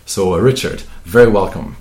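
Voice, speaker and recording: Male podcast host, extremely deep voice, earnest and wordy, echoey room. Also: very animated, normal speed, creaky, a little mellow. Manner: earnest, wordy, with many uh and um fillers. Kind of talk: interview podcast hosting.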